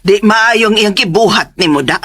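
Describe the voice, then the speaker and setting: A woman's voice: mid-range voice, normal speed, a bit bright, a little hiss or hum, close to an everyday voice. A woman playing an indignant, protective mother who speaks confrontationally in a radio drama. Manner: confrontational, demanding.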